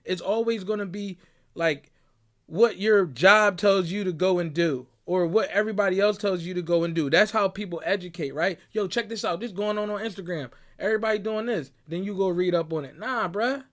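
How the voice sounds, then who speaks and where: High-voiced emphatic young man from Barbados. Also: fairly quick. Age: young adult. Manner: emphatic, preachy, peppers talk with "right?" and "bruh". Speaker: motivational speaker. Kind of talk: motivational monologue. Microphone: audio clip recording.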